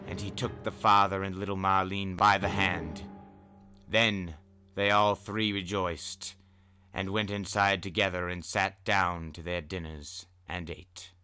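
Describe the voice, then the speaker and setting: A man hosting and narrating old tales in a dramatic storybook style, with emphatic, deliberate phrasing. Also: fairly low pitch. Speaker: podcast host and story reader. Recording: music under the voice. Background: music.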